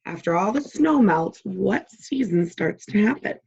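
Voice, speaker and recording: A woman teacher doing a read-aloud for young children, deliberate and encouraging as she prompts them with simple questions. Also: medium pitch, animated, gravelly, a little mellow, slightly rough, muffled recording.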